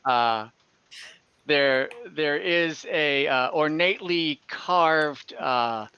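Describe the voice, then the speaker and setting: A man improvising narration as game master of an online tabletop game, haltingly searching for words. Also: fairly high pitch, pushed and bright, smooth, no echo, dull audio.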